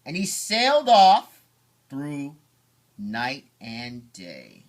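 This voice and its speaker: Slow, animated dad reading a storybook. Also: male voice, medium pitch. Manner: theatrical, drawn-out emphasis on key words. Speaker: father reading a bedtime story to his children.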